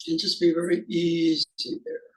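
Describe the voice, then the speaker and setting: A man's voice: on the high side, fairly even intonation, darkish tone, rough recording. Man musing through a guided meditation. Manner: halting, musing, speaks in short fragments with pauses. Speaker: meditation teacher.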